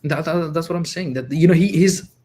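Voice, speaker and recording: A man joining a livestream as a guest, arguing his points quickly and emphatically in casual discussion. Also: a little high, dark tone, a little hiss or hum.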